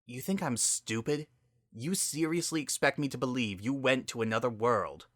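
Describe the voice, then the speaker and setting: A man plays an angry pony big sister in a storybook drama. The voice is hard-edged and lifted in pitch, full of sarcasm and confrontation. It sounds close and dry. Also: moderate pace, fairly bright tone, no echo, natural voice. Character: angry, sarcastic female pegasus pony, bitter older sister.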